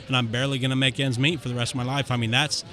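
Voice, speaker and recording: Dentist guy on a podcast, bright crisp voice, tells his story fast and frank. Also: mid-range voice. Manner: candid, animated storytelling with quick rushes. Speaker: dentist guest. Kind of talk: casual interview storytelling.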